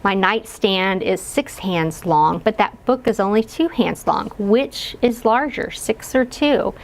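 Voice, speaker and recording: A woman's voice: medium pitch, animated, slow. A woman educator giving instructional guidance to parents, explaining and modeling simple questions as if for a young child. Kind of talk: instructional read-aloud guidance.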